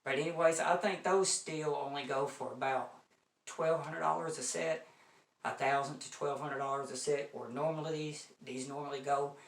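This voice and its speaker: A man's voice: a little high, steady tone, normal speed. An American man giving a casual, folksy product review to viewers, repeating points for emphasis. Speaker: home audio equipment reviewer. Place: indoor room. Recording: echoey room, distant microphone.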